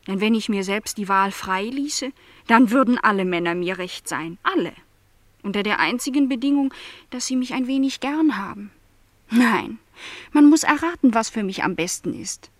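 Young, yearning housemaid, acted by a woman, higher-pitched. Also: lively intonation, normal speed, no room sound, close to an everyday voice. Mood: earnest, determined. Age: young adult. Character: young lonely housemaid, naive, earnest and yearning. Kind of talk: German radio play dialogue.

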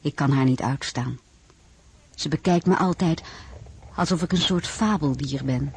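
An actress playing an elegant, homesick and imperious French princess in a radio drama, expressive and theatrical. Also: female voice, low voice, a little slow, slightly rough, exaggerated voice. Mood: reflective, wry. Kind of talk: radio drama acting with first-person narration.

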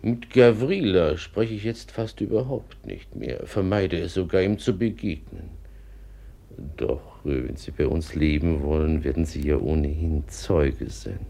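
Gravelly, pompous old father. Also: very deep voice, lively intonation, unhurried, mellow, exaggerated voice. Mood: indignant. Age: older. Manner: pompous, dramatic declaiming. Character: aggrieved, theatrical old father, fallen gentleman. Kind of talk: 1950s German radio drama acting.

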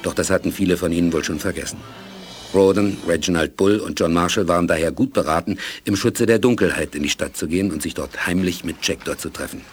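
A man as the grave, measured narrator of a sci-fi radio play, reading scripted narration. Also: sits low, natural voice. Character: grave, measured male storyteller of space saga. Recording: music behind the narration.

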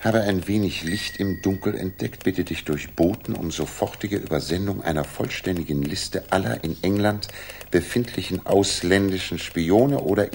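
An actor playing a brilliant, brisk and commanding detective in a 1960s German radio drama, musing and deliberate as he speaks with authority. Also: low voice, gravelly, a little hiss or hum. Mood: brisk, decisive.

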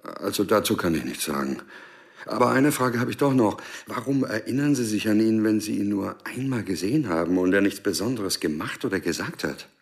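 A patient policeman in a radio drama. He has a low, gravelly voice. His delivery is courteous and businesslike, reserved and matter-of-fact. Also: expressive, fairly quick. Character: patient, businesslike male police officer taking a tip. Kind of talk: naturalistic radio drama acting.

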